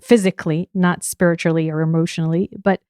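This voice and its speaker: A woman's voice: on the low side, level tone, fast talker, darkish tone, clean tone, no echo. Israeli-accented woman hosting, dry sound. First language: Hebrew. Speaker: podcast host. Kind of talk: podcast interview.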